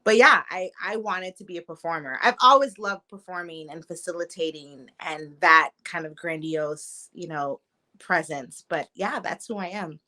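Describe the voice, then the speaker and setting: A woman in her thirties, born in Trinidad and raised in Canada and the US, chatting lively as a podcast guest over a video call. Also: fairly low pitch, normal speed. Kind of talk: casual interview chat.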